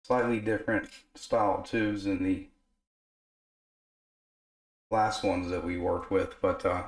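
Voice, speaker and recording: A man with a deep, flat voice that is worn hoarse from being sick. He talks in a relaxed, unscripted way at his repair bench, full of pauses and fillers as he works. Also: low-quality recording. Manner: relaxed, filler-heavy explaining while working. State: hoarse from illness. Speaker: radio repair technician. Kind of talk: casual workshop narration. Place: workbench in a home repair shop.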